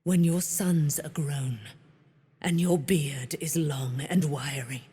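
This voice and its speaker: A woman's voice: low-pitched, slow pace, dark tone, slightly rough, dry recording, heavily acted. An actress playing a proud, immortal holy warrior woman in a fantasy game, speaking in grand, solemn, formal phrases. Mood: menacing. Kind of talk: theatrical fantasy game voice acting.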